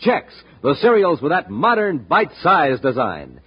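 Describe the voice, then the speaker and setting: An American man announcing in scripted 1950s radio style, with a showman's pitch aimed at young listeners. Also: big pitch swings, a little slow, slightly rough. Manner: showman's hard-sell delivery aimed at kids. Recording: dull, noisy 1950s radio recording.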